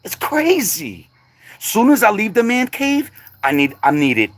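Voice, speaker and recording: Laid-back livestreaming man, lively pitch. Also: a little slow, no room sound. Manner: laid-back, laughing often, slangy asides. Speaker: livestream host. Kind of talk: casual livestream chat.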